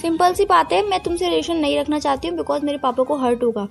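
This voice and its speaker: A woman's voice: high-pitched, fairly even intonation, fast, vocal fry, soft background music. A young woman playing an earnest, firm girlfriend who is pained and torn, in an audio call drama. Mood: earnest, resolute. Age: young adult. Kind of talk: acted phone-call drama in conversational Hindi with some English.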